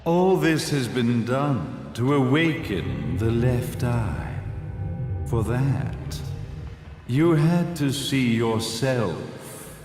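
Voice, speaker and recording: Slow, grandiose villainous sage. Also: male voice, medium pitch, big pitch swings. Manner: pompous, measured, dramatic pauses. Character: grandiose villainous sage, calm megalomaniac executive. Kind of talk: theatrical video game cutscene monologue. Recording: echoey hall reverb under loud music.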